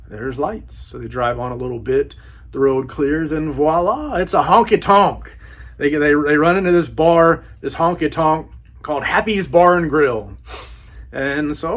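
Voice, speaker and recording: A man giving a casual book review as a channel host, enthusiastic, with a laid-back, slangy way of talking. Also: on the high side.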